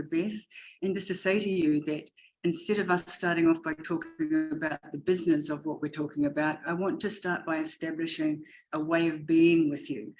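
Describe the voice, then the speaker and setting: New Zealand woman, 50s, native Māori speaker, earnest coach, level flat delivery; faint background noise. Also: sits low, rough recording. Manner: earnest and steady, with repeated rhetorical questions. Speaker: education coach and panelist. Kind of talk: warm motivational talk.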